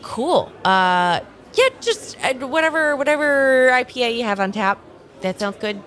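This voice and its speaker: A woman playing a determined but flustered time agent, speaking haltingly and earnestly in an improvised audio drama. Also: on the high side, animated, fairly bright tone. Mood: awkward, distracted.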